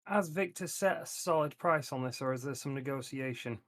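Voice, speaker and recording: A man's voice: medium pitch, a little fast, a bit bright. A man talking casually as a player in a tabletop roleplaying game.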